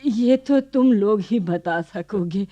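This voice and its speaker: An actress playing a modest, simple old village grandmother in a radio play, talking in an unhurried, homely way. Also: medium pitch, warm tone, lightly acted. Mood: modest, dismissive. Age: older. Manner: unhurried, homely, self-effacing. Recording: dull archive radio recording.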